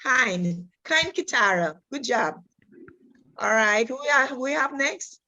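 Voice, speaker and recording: Lady hosting a youth session online, upbeat, praises everyone, kinda high voice. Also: lively intonation, unhurried, sharp tone, rough recording. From Dominica. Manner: encouraging, praises each speaker by name. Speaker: host of a youth group session. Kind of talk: online group meeting.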